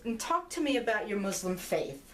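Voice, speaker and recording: A woman's voice: medium pitch, sing-song, brisk, a bit bright, echoey room, slight background noise. A woman interviewing a student, asking probing questions and encouraging her with frequent murmured acknowledgments.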